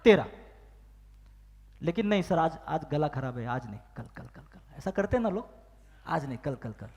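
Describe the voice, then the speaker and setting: A man's voice: a little high, sing-song, moderate pace. A man giving a motivational business training talk, firing questions at the audience and acting out phone calls and excuses. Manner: asks the crowd questions and demands loud answers. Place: large hall with live audience. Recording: long hall reverb.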